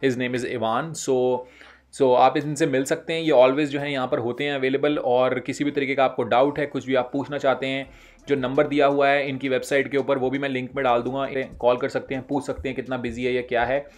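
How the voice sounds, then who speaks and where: A man's voice: mid-range voice, level tone, a little fast. A man in his thirties chatting through a vlog, switching between Hindi and English with lots of fillers. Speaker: vlogger. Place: barbershop, indoors.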